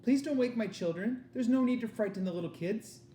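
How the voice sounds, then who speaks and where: A man's voice: very high-pitched, brisk, dark tone, roomy sound, a little hiss or hum, pitched above the performer's own voice. A man voicing a protective father who is wary, firm and defiant. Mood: guarded.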